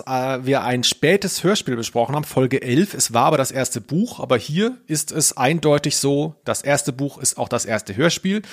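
A man co-hosting a podcast, chatting casually in a wry, joking way. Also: medium pitch. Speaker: podcast co-host. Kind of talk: casual chat about audio dramas.

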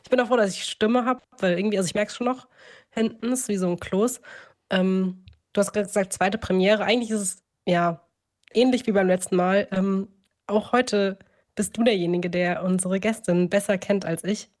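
A woman's voice: medium pitch, steady tone, moderate pace, darkish tone. A young woman with a mid-range voice co-hosts a podcast. Her delivery is informal and conversational, like unscripted chat. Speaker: podcast co-host.

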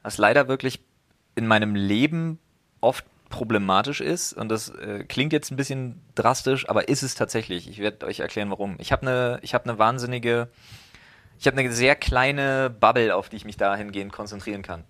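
Man, thirties, podcast co-host, casual and rambling, average pitch. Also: moderate pace, fairly bright tone. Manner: rambling, reflective, many fillers. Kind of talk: casual chat.

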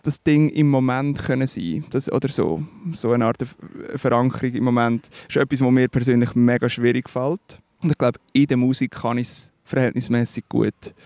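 A man's voice: medium pitch, moderate pace, darkish tone, dry recording. Reflective Swiss German man, dry sound. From Switzerland. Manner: thoughtful, hesitant, many fillers and restarts. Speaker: musician guest. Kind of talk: reflective interview talk.

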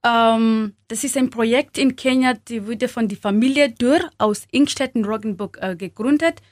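A young woman from Kenya speaking German as a second language, halting with many fillers and small grammar slips, as an interview guest. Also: on the high side, no echo, clean studio sound. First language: not German. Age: young adult.

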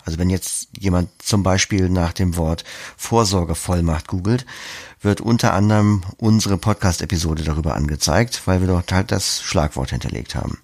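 A man's voice: low voice, moderate pace, darkish tone, no echo, studio quality. A man being interviewed as a podcast producer, explaining things conversationally, step by step with concrete examples.

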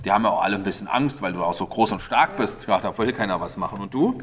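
A man's voice: on the low side, fast. A man playing along as host of an improvised audio play with children, asking short, encouraging questions. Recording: long hall reverb.

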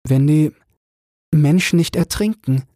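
A man voicing a young mermaid girl for a fairy-tale audiobook, soft and breathy, gentle, plaintive and yearning. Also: fairly high pitch, slow pace, no echo, studio quality, voice pushed up. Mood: curious.

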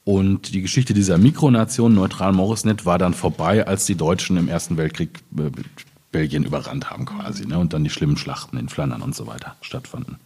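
A man's voice: low-pitched, brisk, a little mellow, husky. A man speaking as a poet in a spontaneous literary conversation, reflective and often searching for his words. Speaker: poet in discussion.

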